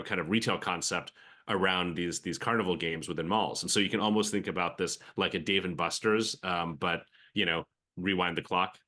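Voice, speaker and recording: A man's voice: low voice, bright, forceful tone. A man in his forties, born in the Philippines with an American accent, speaking as a podcast guest in a reflective way with many fillers and restarts. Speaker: venture capital guest. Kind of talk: conversational podcast interview.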